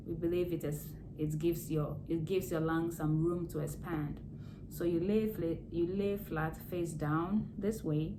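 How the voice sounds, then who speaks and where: A hoarse woman, a nurse, giving plain health advice in a casual vlog and repeating key words as she explains. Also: low voice, noisy background. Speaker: nurse vlogger.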